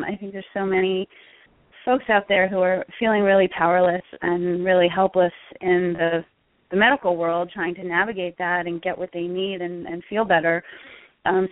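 A woman's voice: medium pitch, dry recording. A middle-aged American woman from Connecticut speaking conversationally as an interview guest and health coach. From Connecticut, United States. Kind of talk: conversational interview answers, telling own story. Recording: tinny narrow-band phone line.

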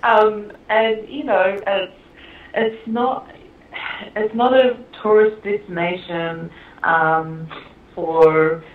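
A woman's voice: medium pitch, fairly even intonation, drawn-out words, slight background noise. A woman film director being interviewed, talking casually with many fillers and frequent laughs. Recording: echoey, tinny phone-like line.

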